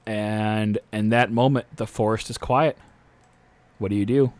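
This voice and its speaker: A man's voice: lowish voice, fairly even intonation, unhurried, a little mellow, dry recording. A man acting as game master, narrating the action and explaining rules in a casual tabletop game.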